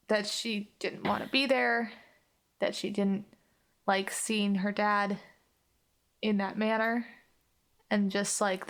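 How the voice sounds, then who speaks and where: A woman's voice: mid-range voice, a bit bright. A woman casually improvising her character's story in a tabletop roleplaying game, hesitant and informal. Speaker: player on an actual-play podcast.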